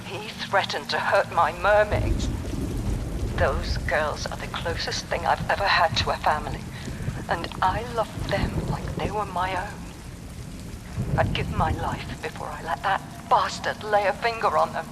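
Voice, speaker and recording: High-voiced, solemn male guardian. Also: unhurried, background noise. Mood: protective, bitter. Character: remorseful protective guardian of mermaids. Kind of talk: dramatic confessional game monologue.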